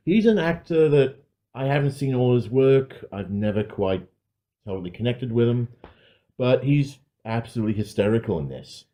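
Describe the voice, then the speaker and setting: A man's voice: fairly low pitch, mellow. A man co-hosting a podcast, chatting casually about films in a wry, sweary, self-mocking way. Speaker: podcast co-host. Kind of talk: casual film review chat.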